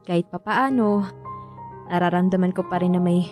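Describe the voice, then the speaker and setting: An actress playing a hurt, polite young Filipino daughter who pleads, in a radio drama. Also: female voice, lowish voice, fairly even intonation, moderate pace, darkish tone, soft background music, lightly acted. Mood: polite, subdued.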